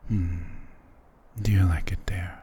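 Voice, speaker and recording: A man playing a doting, possessive immortal vampire lord in an intimate ASMR romance roleplay, husky, tender and softly commanding. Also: fairly even intonation, slow pace, a crowd in the background. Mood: tender, wistful. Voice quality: breathy, husky low whispering.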